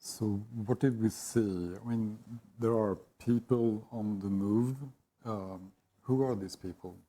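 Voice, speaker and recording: A man moderating an interview as curator, asking brief, measured questions. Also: on the low side, steady tone, mellow. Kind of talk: moderating a live-streamed interview.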